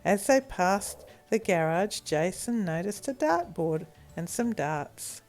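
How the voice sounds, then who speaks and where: Lively woman storyteller, unhurried pace. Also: medium pitch, faint music behind. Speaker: children's storyteller. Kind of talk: expressive scripted story narration for children.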